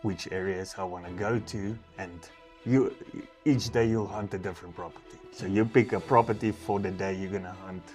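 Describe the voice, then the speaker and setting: South african guy, deep voice, chatting about his work as a hunting guide, bit halting, soft music behind. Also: fairly even intonation. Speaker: professional hunter, safari outfitter. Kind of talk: casual interview talk.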